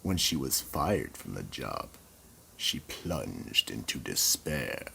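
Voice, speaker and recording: A man hosting and narrating a horror radio drama in a slow, ominous, dramatic way. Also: a bass voice, very animated, vocal fry, sharp tone, slight background noise. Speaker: horror anthology host and narrator.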